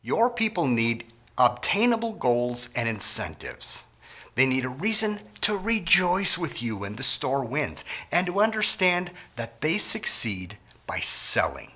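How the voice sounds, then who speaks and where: Lively, bright-voiced middle-aged trainer. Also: male voice, medium pitch, a little slow. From Wisconsin. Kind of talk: scripted training talk.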